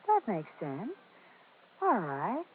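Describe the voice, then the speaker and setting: An actress playing a flirtatious, lonely widow in a 1950s radio detective drama, with a coy, theatrical delivery. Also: female voice, medium pitch, huge pitch swings, slow, slight background noise. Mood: recounting, agitated. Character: flirtatious, lonely widow, coy coal company vice president. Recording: tinny, band-limited 1950s radio recording.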